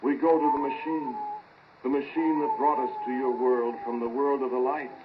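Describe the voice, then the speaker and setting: A man's voice: medium pitch, flat delivery, moderate pace, close to an everyday voice. An insistent alien hive mind voiced by a male actor speaks through a possessed man in short, chanted, repetitive phrases. It comes through a muffled, noisy 1940s radio horror recording. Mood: cold, commanding.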